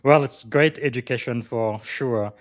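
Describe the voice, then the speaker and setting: Congolese-born man hosting with many pauses. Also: mid-range voice, no room sound, studio quality. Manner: frequent filler pauses, enthusiastic introductions. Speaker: podcast host. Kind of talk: hosting an interview.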